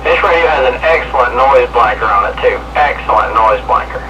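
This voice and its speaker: A middle-aged man chats casually over a two-way radio. His mid-pitched voice sits far from the mic. Clear radio noise runs through the whole transmission. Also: lively intonation, a little fast. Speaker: CB radio hobbyist. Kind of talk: casual radio check-in chat about equipment. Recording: distant, loud CB radio static and noise.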